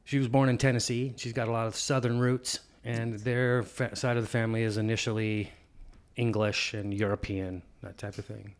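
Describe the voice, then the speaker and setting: A man hosting a podcast, interviewing a guest in relaxed casual chat with many fillers and restarts. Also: fairly low pitch, normal speed. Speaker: podcast host.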